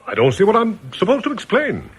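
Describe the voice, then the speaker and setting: An actor in a radio drama playing a flustered, indignant shopper who blusters hesitantly and impatiently. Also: male voice, high-pitched, wildly swooping pitch, brisk, husky, heavily acted. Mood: defensive. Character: flustered, indignant male shopper under suspicion of fraud.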